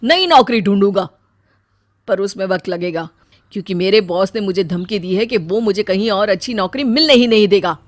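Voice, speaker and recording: A woman's voice: medium pitch, moderate pace, no echo, close to an everyday voice. A woman voicing a stressed, irritated young husband in a cartoon story, speaking curtly. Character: adult man, stressed tired young husband.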